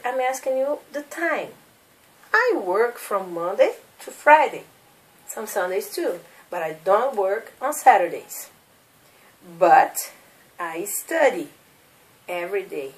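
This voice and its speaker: A Brazilian woman in her thirties teaches English at a deliberate, unhurried pace, with a Brazilian Portuguese accent. Her voice is fairly high and rises and falls a lot as she repeats each question. She sits a little away from the microphone. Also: distant mic, poor audio. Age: 30s. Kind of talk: slow classroom drilling of questions.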